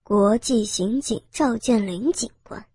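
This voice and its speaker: A woman voicing a lecherous, smug male gang boss in an audio story, taunting and menacing, with a husky edge. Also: fairly high pitch, animated, moderate pace, darkish tone, dry recording, clean studio sound, voice pushed down, heavily acted. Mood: mocking, smug. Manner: taunting, sneering.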